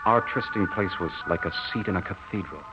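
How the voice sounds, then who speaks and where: A man's voice: deep voice, a little fast, close to an everyday voice. An actor playing an earnest, lovelorn young farm-raised soldier, telling his story in a folksy, solemn way in 1940s radio drama style. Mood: wistful. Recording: muffled old radio recording with music. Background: dramatic music.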